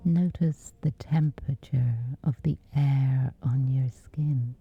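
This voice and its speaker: A woman with a whispery voice, slowly and gently guiding a sleep meditation. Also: extremely low pitch, vocal fry, phone-quality sound, soft background music. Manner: slow, soothing, unhurried. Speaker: meditation guide.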